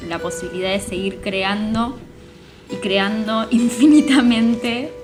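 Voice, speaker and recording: A woman's voice: medium pitch, unhurried. A woman speaking in casual, reflective conversation. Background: guitar music.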